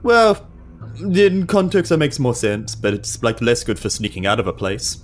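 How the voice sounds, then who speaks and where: A man voicing an earnest, protective adventurer in a tabletop fantasy game, halting and droll. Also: medium pitch, sing-song, soft background music.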